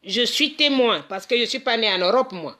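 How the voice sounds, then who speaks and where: Emphatic Cameroonian-born woman, slightly high voice. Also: expressive, fairly quick, pushed and bright. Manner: emphatic, repeats words and phrases, many restarts. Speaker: community association founder. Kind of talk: impassioned monologue.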